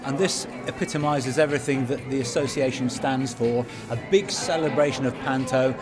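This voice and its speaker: A man's voice: medium pitch, fairly even intonation, normal speed, over music. Upbeat man hosting a podcast. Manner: upbeat, chatty presenter. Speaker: podcast host. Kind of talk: on-location interview.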